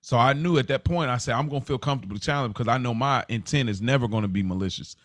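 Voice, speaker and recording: A man's voice: medium pitch, rapid-fire, fairly bright tone, dry recording, clean studio sound. A man speaking as a podcast co-host in casual, reflective conversation, earnest and conversational in his delivery.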